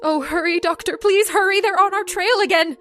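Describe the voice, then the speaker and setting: Woman acting overwrought, high-pitched frightened heroine. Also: brisk, fairly bright tone, faint music behind. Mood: panicked. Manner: overwrought, pleading, melodramatic. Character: frightened, guilt-ridden woman hunted for her crime. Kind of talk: old-time radio mystery acting.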